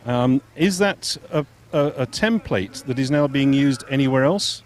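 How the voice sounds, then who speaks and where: A man calling a triathlon race live, steady and informative, pausing now and then with fillers. His voice sits at an ordinary middle pitch for a man, and he sounds like he is outdoors at the course. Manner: measured, informative, with hesitation fillers. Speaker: race commentator. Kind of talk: live sports commentary and interview.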